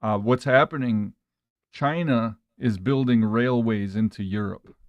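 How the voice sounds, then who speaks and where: A man's voice: mid-range voice, measured pace, no echo. A man in casual live discussion on a talk show, heard through a dull, lo-fi connection. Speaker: talk show co-host or guest.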